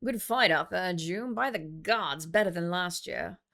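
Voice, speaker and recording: A woman's voice: lowish voice, unhurried, a bit bright. Foppish guild second-in-command, male, smug and calculating, needling delivery, fantasy story, played by a woman. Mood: flattering. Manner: smooth-talking, needling.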